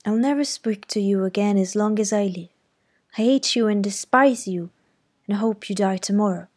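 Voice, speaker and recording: A stubborn young girl with a quick temper, voiced by a woman narrator close to her own voice. She answers back defiantly. The tone is breathy. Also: mid-range voice, steady tone, mellow. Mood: furious. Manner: defiant, outburst-prone.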